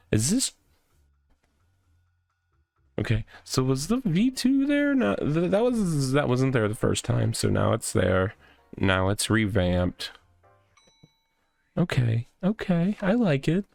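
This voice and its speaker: A man's voice: medium pitch, big pitch swings, slow, vocal fry, pauses often, a little mellow, no echo. A man giving casual, off-the-cuff commentary as he plays through a game. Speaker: gaming playthrough host. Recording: music behind the voice.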